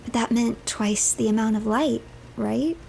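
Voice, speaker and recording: A woman tells a horror game's story in the third person, like a dramatic narrator. Her voice sits fairly high, with a strong creak in it and breathy dips toward a whisper. There is faint noise and ambience behind her. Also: unhurried, darkish tone. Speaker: streamer narrating a horror game playthrough.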